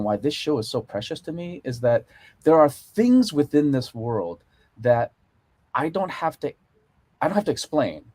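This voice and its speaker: A man's voice: mid-range voice, darkish tone, husky, no echo. A middle-aged man with an American accent, speaking earnestly and emphatically in an unscripted panel discussion. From born in Korea, moved to America young. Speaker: panelist, TV series consulting producer.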